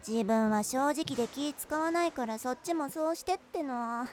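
An actress voicing a level-headed teenage schoolgirl in a voice drama, talking calmly and earnestly. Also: high-pitched, normal speed, a little hiss or hum, lightly acted. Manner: calm, reasoning and earnest. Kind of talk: amateur voice drama.

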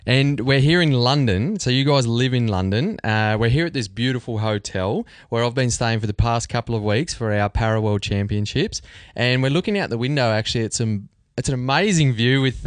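A man's voice: medium pitch, animated, a little fast, no echo. A man hosting a conversational podcast interview, talking in an enthusiastic, chummy way. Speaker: podcast host.

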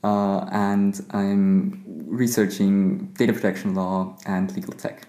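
A man's voice: deep voice, level tone, takes it slowly, mellow. A man from Austria co-hosting a law podcast, speaking in a hesitant way with many fillers. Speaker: podcast co-host, law researcher. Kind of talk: conversational podcast talk. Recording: echoey room.

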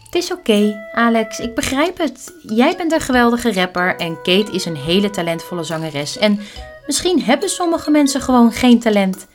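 An actress playing a self-doubting female bird, gentle and earnest, in a children's audio drama. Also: a little high, expressive, normal speed, faint music behind, close to an everyday voice. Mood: wistful. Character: wistful, self-doubting female bird who dreams of flying.

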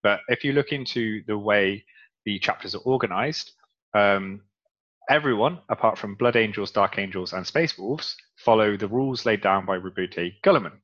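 A man's voice: lowish voice, moderate pace, fairly bright tone, lo-fi sound. A man co-hosting a casual podcast, talking with many ums as he explains game lore at length. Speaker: podcast co-host.

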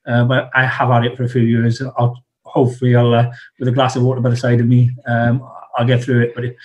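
A man's voice: medium pitch, completely flat delivery, quick pace, highs cut off. A man with a South Welsh accent and a slight cough, talking over a video call as an interview guest, hesitant and full of restarts. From South Wales. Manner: hesitant, many restarts and fillers. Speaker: guest, ex-Royal Marine.

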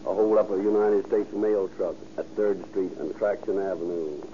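A man's voice: sits low, steady tone, normal speed, warm tone, natural voice. A man playing a police radio dispatcher, calling out alerts in a clipped, official, matter-of-fact way on 1930s radio. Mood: flat, official. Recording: noisy muffled 1930s radio recording.

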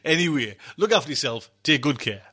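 A man with a very bright, forceful voice chats as a podcast host. He talks conversationally and loosely, circling back over his phrases and leaving thoughts unfinished. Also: on the high side, expressive, a little fast, slightly rough. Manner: conversational, repeats himself, trails off mid-thought. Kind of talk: casual rambling chat.

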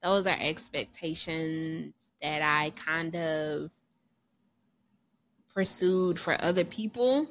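A woman in her thirties co-hosting a podcast, talking candidly and casually, slowing down when she reflects. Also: low voice, a bit bright, slight background noise. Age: 30s. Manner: candid, chatty, slows down to reflect. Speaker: podcast co-host. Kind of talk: casual chat.

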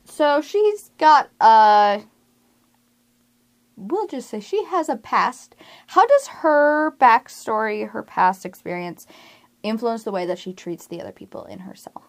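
A woman's voice: fairly high pitch, very animated, measured pace. A woman asking questions as an interviewer in a casual, informal way.

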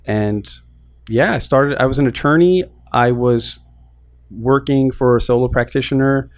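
A man's voice: mid-range voice, measured pace. A young man from Queens, New York, talking casually as an interview guest about starting his business, easygoing and self-deprecating with frequent restarts. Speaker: guest, lending business co-founder.

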